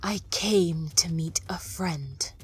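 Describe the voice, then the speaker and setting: A woman playing a husky, proud, wronged sorceress. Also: on the low side, takes it slowly, full character voice. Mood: hurt, pleading. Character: proud, wronged female stone mage, defiant former student. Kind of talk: audio drama acting.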